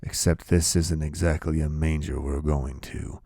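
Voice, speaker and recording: A man voicing a menacing ex-convict father who speaks slowly and quietly threatens. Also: very deep voice, fairly even intonation, gravelly, breathy edge, dry recording, studio quality, voice pushed down, full character voice. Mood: slow menace. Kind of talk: audiobook character voice.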